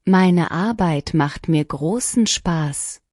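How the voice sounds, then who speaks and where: A woman reading short lesson sentences one at a time, each clearly and deliberately, for learners to repeat. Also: fairly low pitch, a little mellow, no echo, studio quality. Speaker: language lesson reader. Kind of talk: scripted shadowing practice reading.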